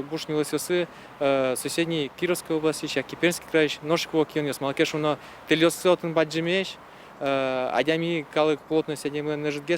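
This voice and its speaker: A man giving a hesitant interview comment, pausing on fillers. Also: fairly high pitch, slight background noise.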